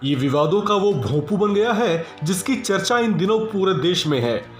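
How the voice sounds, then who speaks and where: A man with a notably high voice reads a scripted news report. His delivery is emphatic and rhetorical, in the manner of a presenter. The voice sounds clearly roomy, with music playing behind it. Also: animated, normal speed.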